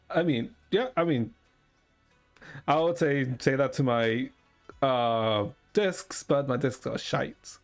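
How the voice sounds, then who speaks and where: A man's voice: mid-range voice, expressive, slow pace. A man streaming a game, reading its dialogue and narration aloud and adding casual commentary. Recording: game music faintly behind.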